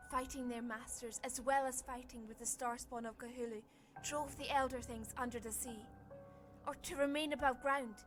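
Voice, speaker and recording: An actress playing a grave, awed woman professor and explorer in a formal, deliberate 1930s-style radio horror drama. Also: high-pitched, steady tone, fairly bright tone, faint music behind. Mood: alarmed, grim. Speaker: actor in an audio drama.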